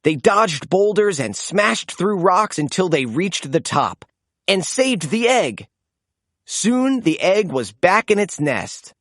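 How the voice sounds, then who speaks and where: A woman reading a children's storybook aloud as narrator, in an expressive read-aloud style. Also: fairly low pitch, takes it slowly, no room sound, studio quality. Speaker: storybook reader.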